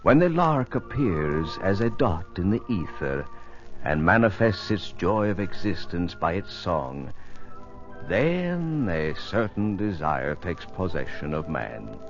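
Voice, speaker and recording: An actor playing an earnest, wonder-struck dreamer of flight, speaking poetically in a 1950s radio drama. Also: male voice, low-pitched, sing-song, takes it slowly, over music, exaggerated voice. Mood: rapturous, yearning. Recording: muffled old radio recording.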